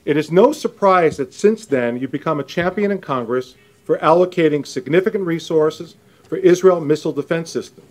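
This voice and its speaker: A man's voice: a little high, moderate pace. A man giving a chatty, anecdotal speech at a podium, dropping in self-deprecating asides. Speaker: event emcee.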